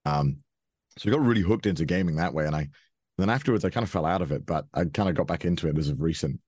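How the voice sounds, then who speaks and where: A young man with a very deep voice talks casually as an interview guest. He stumbles and restarts often and laughs easily. The recording is close and dry. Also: fast, darkish tone, breathy edge, dry recording. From Wales. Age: 20s. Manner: hesitant, many restarts and fillers, laughs often.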